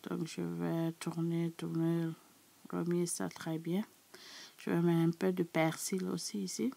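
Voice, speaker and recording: Woman a bit hoarse from a sore throat, lowish raspy voice, chatting thru a recipe. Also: little pitch movement, unhurried, dark tone. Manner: chatty, addresses her subscribers directly. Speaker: cooking channel host. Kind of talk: casual cooking tutorial.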